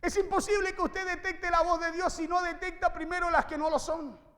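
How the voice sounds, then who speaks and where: A male preacher delivers an impassioned sermon in a very high, raised voice. He exhorts his listeners directly, hammering phrases home through repetition. Long reverb from the hall trails his words. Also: little pitch movement, fairly quick, fairly bright tone. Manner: exhorting, repeats phrases for emphasis. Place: large reverberant room, preaching to a congregation.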